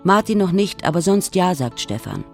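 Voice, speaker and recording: An actress playing a composed, matter-of-fact narrator in a German radio play. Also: female voice, lowish voice, sing-song, normal speed, soft background music. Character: composed narrator reporting events in present tense.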